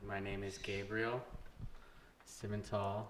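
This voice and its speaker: A man in his twenties introducing himself in a slow, measured way, giving his name, age and family background. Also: on the low side, level tone. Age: 20s. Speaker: think tank participant. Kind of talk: self-introduction on camera. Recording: roomy with faint noise.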